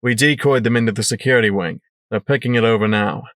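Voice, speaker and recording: A man voicing a terse male pony scout giving brisk, matter-of-fact reports. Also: mid-range voice, a bit bright, no echo, clean studio sound, close to an everyday voice. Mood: grim.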